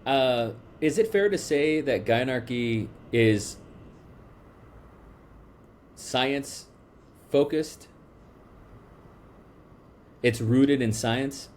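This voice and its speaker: Slow, halting podcast host, a man. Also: mid-range voice, a little mellow, slight background noise. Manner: halting, many restarts and fillers. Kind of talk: casual interview.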